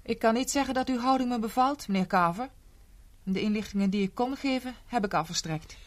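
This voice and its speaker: An actress playing a defiant young stepdaughter in a 1970s radio drama, curt and dismissive. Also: female voice, a little high, brisk, lightly acted. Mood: cold. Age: young adult.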